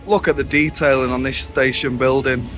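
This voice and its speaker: A man's voice: mid-range voice, fairly even intonation, moderate pace, music in the background. A young man giving a casual, enthusiastic vlog tour outdoors at a theme park, recorded on a handheld camera. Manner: chatty, enthusiastic tour guiding.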